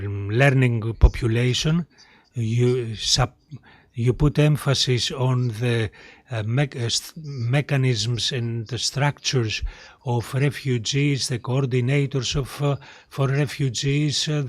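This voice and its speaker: A man moderating a conference panel, summing up talks and introducing speakers in a halting way with many fillers. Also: medium pitch, no echo. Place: conference hall.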